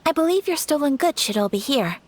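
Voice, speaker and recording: A woman's voice: high-pitched, lively intonation, slight background noise. A woman voicing a composed, formal young noblewoman in a video game. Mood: calm. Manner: formal, measured. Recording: game music behind.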